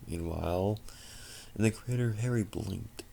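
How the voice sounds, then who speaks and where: A man with a low, creaky voice reads fiction aloud. He sounds tired and halting, often repeating words as he goes. The recording carries a faint noise. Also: dark tone. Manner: halting, stumbling over words. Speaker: narrator reading fan fiction. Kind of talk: amateur story narration with asides.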